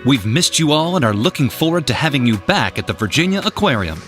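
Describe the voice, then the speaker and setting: A man's voice: mid-range voice, very animated, fast talker, fairly bright tone, soft background music. A man reading a scripted announcement as a spokesperson for an aquarium.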